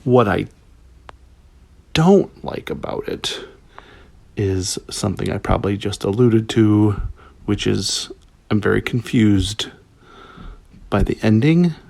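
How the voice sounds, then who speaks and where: Low, creaky-voiced man reviewing casually. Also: animated, takes it slowly, long pauses, darkish tone, no echo. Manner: hesitant, rambling, thinking aloud. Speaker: listener giving feedback to a podcast.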